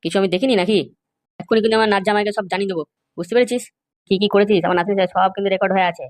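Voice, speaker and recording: Woman voicing a fast-talking angry old grandfather. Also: mid-range voice, close to an everyday voice. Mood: threatening. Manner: scolding, threatening. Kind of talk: amateur comedy cartoon dub.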